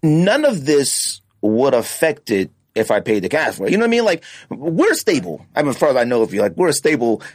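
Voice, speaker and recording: A man's voice: mid-range voice, big pitch swings, brisk, few pauses, dry recording. A man hosting a podcast in casual debate, talking emphatically and repeating phrases to drive his point home. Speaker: podcast host.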